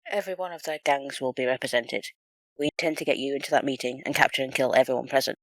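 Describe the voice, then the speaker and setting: Male actor as imperial agent, rapid delivery, dry sound, level-headed, businesslike, sci-fi audio drama. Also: mid-range voice, steady tone, a bit bright, no echo, close to an everyday voice, low-quality recording. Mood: brisk, professional. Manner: brisk, businesslike.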